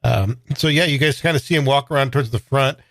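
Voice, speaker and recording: A man's voice: mid-range voice, fast talker, a bit bright, no room sound. A man playing a tabletop game, chatting casually and describing his character in a musing, hesitant way. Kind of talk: casual improvised role-play chat.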